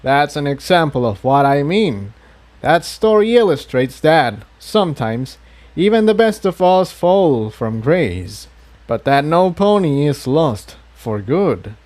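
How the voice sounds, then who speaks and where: A man voicing a kindly old unicorn priest who explains things in an earnest, measured and solemn way for a storybook reading. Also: on the high side, big pitch swings, a little mellow. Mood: reassuring.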